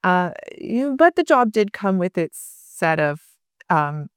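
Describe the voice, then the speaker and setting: A woman's voice: on the low side, sing-song, slow pace, smooth, no echo. A woman in her forties from Canada, co-hosting a podcast and leading the conversation in a friendly, casual way. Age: 40s. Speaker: podcast co-host. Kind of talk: casual chat.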